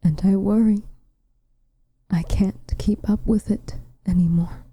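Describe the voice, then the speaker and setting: A woman playing a proud, protective witch in an ASMR roleplay, speaking softly and formally, often dropping into a breathy whisper. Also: mid-range voice, steady tone. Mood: bitter, weary. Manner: formal, measured, dramatic delivery. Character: protective, proud, frustrated witch, tender toward her lover.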